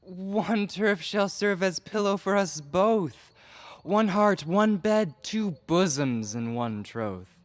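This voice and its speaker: A man playing an ardent, impetuous young gentleman in a Shakespearean verse audio drama, with a declamatory manner. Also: sits high, lively intonation, takes it slowly, no echo. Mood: coaxing, amorous.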